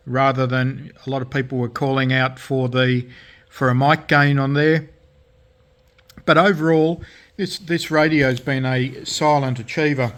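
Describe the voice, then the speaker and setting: A man shows off a restored CB radio in a casual workshop walkthrough. He speaks at an ordinary middle pitch, stopping often for ums and restarts as he explains each fix in plain technical terms. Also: fairly bright tone. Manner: halting, many ums and self-corrections. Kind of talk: casual workshop show-and-tell.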